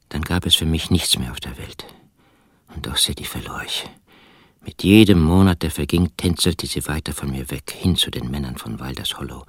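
An actor playing a brooding, wounded village husband in a radio drama, husky and intimate, often slipping into near-whispers. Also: male voice, very deep voice, dry recording, studio quality, exaggerated voice. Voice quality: husky, hushed delivery. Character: brooding, wounded village husband narrating his own story. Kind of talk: German radio drama, first-person narration and dialogue.

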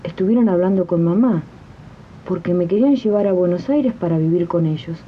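A woman's voice: brisk, over music, close to an everyday voice. A woman playing a domestic maid who confides her life story to listeners in a 1980s radio drama. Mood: confiding. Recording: muffled noisy archive radio tape, rumble.

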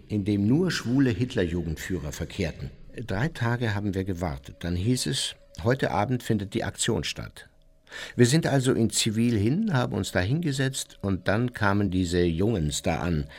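Husky, boastful old war criminal. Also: male voice, fairly low pitch, a little mellow. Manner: chatty, boastful, matter-of-fact storytelling. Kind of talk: radio play monologue as recorded reminiscence.

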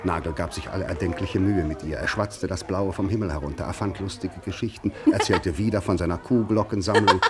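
Low-voiced male radio play narrator. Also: brisk, few pauses, very noisy. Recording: loud music behind the voice.

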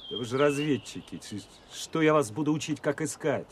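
An actor playing an earnest army officer in a radio play, giving halting, insistent instructions to soldiers. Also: male voice, medium pitch, expressive, slight background noise, natural voice. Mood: earnest, instructing. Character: earnest Soviet officer briefing soldiers on a search. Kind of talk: Russian radio play acting.

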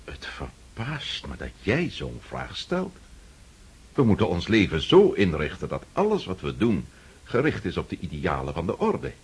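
A man's voice: sits low, wildly swooping pitch, measured pace, creaky, slightly rough, full character voice. An actor playing a pompous middle-aged count and freemason who lectures his friend in a lofty, condescending way in a radio drama. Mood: preachy.

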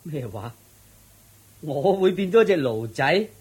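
Henpecked trader, Hong Kong Cantonese, slightly high husky voice, sighing, placating, incredulous, radio drama. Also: male voice, animated, unhurried, darkish tone, heavily acted. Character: exasperated, henpecked merchant man, placating and incredulous. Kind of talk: theatrical radio drama acting.

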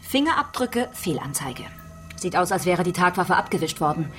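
A relentless female detective with a fairly low voice. She questions insistently, needling and taunting, in the manner of a radio crime drama. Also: big pitch swings, moderate pace, fairly bright tone, faint music behind. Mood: coolly accusing. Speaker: actress in radio play.

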